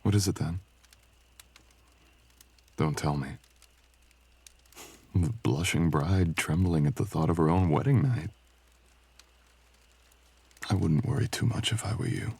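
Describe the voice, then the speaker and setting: Mysterious dragonkin stranger for romantic ASMR drama, played by a man: deep, gravelly, gentle, courtly and hesitant. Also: measured pace, pauses often, heavily acted. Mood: teasing, tender. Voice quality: low, gravelly, husky. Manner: soft, hesitant, tender, archaic courtly phrasing. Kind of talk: intimate roleplay ASMR audio acting.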